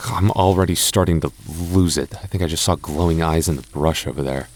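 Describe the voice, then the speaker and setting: A man's voice: extremely low pitch, fairly even intonation, fairly quick, a little mellow. A young man playing an anxious, easily spooked but protective goth boyfriend in a roleplay audio drama, with a hesitant, stammering, sighing delivery. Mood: nervous, exasperated.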